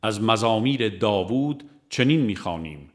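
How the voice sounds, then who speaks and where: A man with an Iranian Persian accent reads a religious audiobook in a measured, formal, literary manner. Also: fairly low pitch, expressive. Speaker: audiobook narrator. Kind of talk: scripted narration of a religious book.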